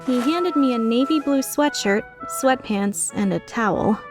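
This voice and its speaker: A woman's voice: a little high, lively intonation, measured pace, music in the background. A woman playing a flustered, skeptical young woman who narrates her own story introspectively in a fan-dubbed drama. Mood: puzzled, reflective. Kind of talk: fan dub drama with first-person narration.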